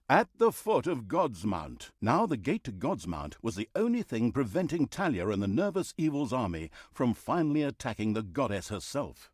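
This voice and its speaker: An actor playing a wry, pompous storyteller who narrates a dark fantasy video game with mock grandeur. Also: male voice, mid-range voice, breathy edge, no room sound, studio quality. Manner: wry, mock-grand, breaks into flustered asides.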